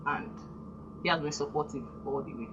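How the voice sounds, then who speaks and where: A woman's voice: deep voice, fairly quick. A woman from Delta State with a Nigerian accent, a gospel singer speaking earnestly and devoutly as a radio guest. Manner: soft-spoken, earnest, devout. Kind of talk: radio interview. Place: radio studio. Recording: lo-fi broadcast, faint noise.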